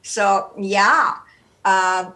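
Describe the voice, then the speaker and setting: An older woman, from Romania, giving an unscripted motivational talk in an energetic, playful and rambling way, often repeating herself. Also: mid-range voice, drawn-out words. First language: German. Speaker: guest speaker.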